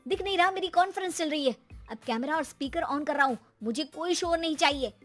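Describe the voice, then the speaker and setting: A woman voicing an irritable, teasing father who works from home, wry and complaining, in an animated story. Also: sits high, quick pace, faint music behind, voice pushed up. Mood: annoyed.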